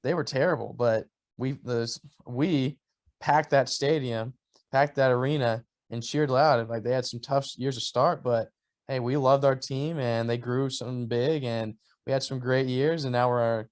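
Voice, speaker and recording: Enthusiastic guest from Oklahoma. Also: male voice, medium pitch, steady tone, normal speed, darkish tone. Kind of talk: casual sports chat.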